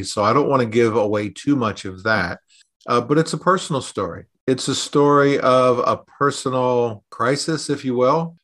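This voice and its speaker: A middle-aged man talking conversationally in a podcast interview, explaining things thoughtfully and pausing to pick his words. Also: medium pitch, a bit bright. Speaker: podcast host and resilience consultant.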